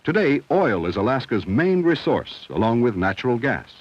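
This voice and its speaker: A man reading scripted narration for a 1970 educational film in a measured, instructional, clearly enunciated way. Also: medium pitch, very animated, no room sound. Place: studio voice-over. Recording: dull, dry vintage film soundtrack.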